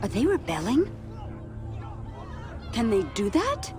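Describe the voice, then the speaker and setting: A woman's voice: on the high side, huge pitch swings, takes it slowly, a crowd in the background, full character voice. An actress playing an anxious young female scout in a video game cutscene. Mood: worried. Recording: game cutscene over clear music.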